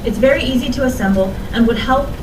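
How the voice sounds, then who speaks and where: A woman playing an earnest young inventor from Haiti, giving a plain, sincere pitch, recorded from afar in a roomy space with noise. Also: fast, lightly acted. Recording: distant, roomy, noisy.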